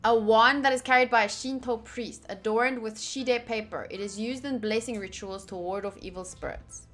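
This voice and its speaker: A woman streaming a visual novel, reading lines aloud in an expressive, playful, exclamatory way. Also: a little high, normal speed. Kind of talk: animated visual novel playthrough narration. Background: game music.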